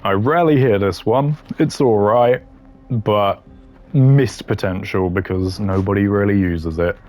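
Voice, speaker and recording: A man's voice: lowish voice, a little slow, darkish tone, soft background music. A man giving casual, opinionated gaming commentary in a blunt, dismissive way with dry humour. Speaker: gaming content creator ranking voice lines.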